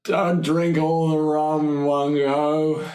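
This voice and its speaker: Man acting an injured vain dancer, high plaintive voice, dazed, tabletop roleplay, echoey room. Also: little pitch movement, takes it slowly, warm tone. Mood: dazed, concerned.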